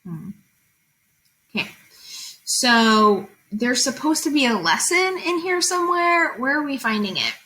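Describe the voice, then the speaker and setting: A woman's voice: high-pitched, sing-song, a little slow, pushed and bright. A woman reading fables aloud and explaining them as a teacher, in an informal, conversational way with guiding questions.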